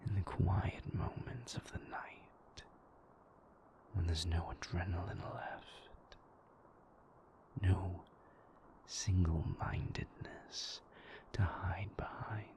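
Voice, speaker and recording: A man playing a moody, brooding vampire in an intimate audio roleplay, hushed and gravelly, speaking deliberately with weary, dry wit. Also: little pitch movement, whispered. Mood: brooding, troubled. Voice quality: breathy, hushed, gravelly low voice. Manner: slow, deliberate, formal wording, dryly wry.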